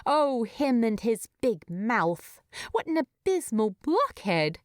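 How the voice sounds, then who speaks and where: Female storyteller, scripted fiction reading, animated melodic pitch, close mic, very dry sound. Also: slow, no room sound. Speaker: audiobook narrator. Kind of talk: expressive scripted fiction narration.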